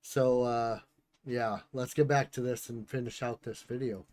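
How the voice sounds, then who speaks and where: A man hosting a reaction video, tired and hoarse from a sore throat, talking casually and a little apologetically. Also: medium pitch, fairly even intonation, unhurried, a little mellow.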